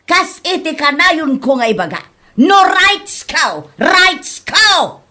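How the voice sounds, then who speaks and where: A shrill, very high-voiced older mother played by a woman in a comedy radio play. She is greedy and defiant, scolding everyone with a bright, piercing edge and never backing down. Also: big pitch swings, slow, heavily acted. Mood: scolding, dismissive. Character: hot-tempered greedy older mother feuding over land. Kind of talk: Ilocano comedy drama acting.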